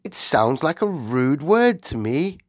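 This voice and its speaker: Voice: mid-range voice, big pitch swings, takes it slowly, no room sound, clean studio sound, pitched above the performer's own voice. A storybook reader voicing a gracious, self-composed queen with a polite, formal manner. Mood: puzzled.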